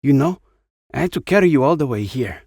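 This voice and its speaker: Man voicing a brisk, exasperated woman, pitched up. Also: animated, a little mellow, no echo, clean studio sound. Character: Anna, brisk, teasing, exasperated older woman.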